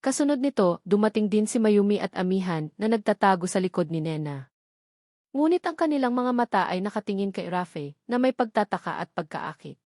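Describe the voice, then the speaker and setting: A Filipino woman tells a romantic story from a script. Her voice sits at a middle pitch, and her delivery is dramatic and measured. The sound is dry, with no room around it. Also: expressive, a little mellow, dry recording. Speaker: story narrator.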